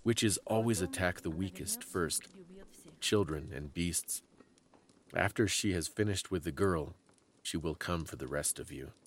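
A man voicing a grave old Russian grandmother in a storybook, giving ominous, deliberate warnings. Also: low-pitched, slight background noise.

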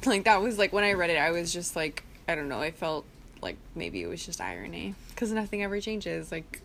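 A tipsy woman chats casually as a podcast co-host. Her pitch swings up and down in a lively way as she rambles in a giggly, filler-heavy style. Faint noise sits behind her voice. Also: fairly quick, gravelly. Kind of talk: casual group podcast chat.

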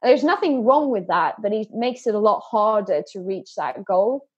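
A woman's voice: medium pitch, lively intonation, normal speed, slightly rough, dull audio. A woman guest in a podcast interview, talking earnestly and often repeating words as she starts a thought. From Sweden and England. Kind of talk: conversational interview.

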